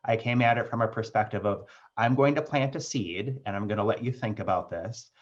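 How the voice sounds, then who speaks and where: A man in his forties speaks in a lowish voice, choosing his words carefully. His answers are measured and broken up by frequent ums. The video call audio sounds a little dull. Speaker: alumni panelist. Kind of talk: reflective panel answer.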